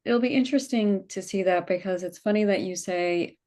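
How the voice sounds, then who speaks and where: A woman from the United States, a meditation teacher talking on a video call in a calm, even way that she calls monotone. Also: mid-range voice, fairly quick. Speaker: meditation teacher asking questions. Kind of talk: casual conversation on a live call.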